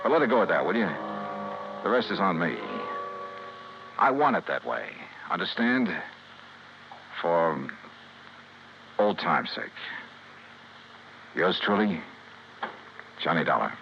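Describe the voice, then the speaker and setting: Wry, hard-boiled radio detective. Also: male voice, low-pitched, sing-song, slow, creaky, long pauses, background noise, heavily acted. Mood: somber, resolute. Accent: American. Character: brisk, hard-boiled male freelance insurance investigator. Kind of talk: 1950s American radio drama acting. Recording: muffled 1950s radio recording, music behind.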